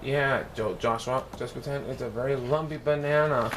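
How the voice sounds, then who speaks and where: A man's voice: medium pitch, fairly even intonation, moderate pace, noisy background, lightly acted. Man voicing a blunt, teasing young schoolboy. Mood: mocking.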